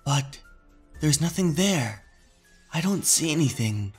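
A man's voice: on the high side, animated, slow, husky, soft background music, voice pushed up, heavily acted. A man voicing a worried, polite shopkeeper mother in a horror story, hesitant and questioning. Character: worried, polite mother who keeps a shop.

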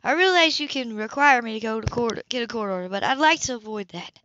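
A woman's voice: fairly high pitch, animated, brisk, sharp tone, dull audio, slight background noise. A woman reading a proud, composed, stubborn psychologist for an audiobook, in a precise and defiant formal manner. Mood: earnest, firm.

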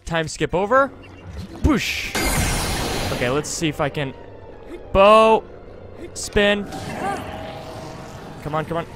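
A man's voice: a little high, lively intonation, moderate pace, pauses often. A man giving casual gameplay commentary into a close desktop microphone, rambling as he talks himself through the action. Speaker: let's play host. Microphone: close desktop USB microphone. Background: game music.

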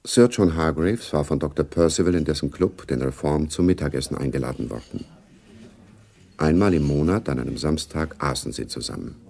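A man narrating a literary radio play in a measured, matter-of-fact way. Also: very deep voice, vocal fry, darkish tone, full character voice.

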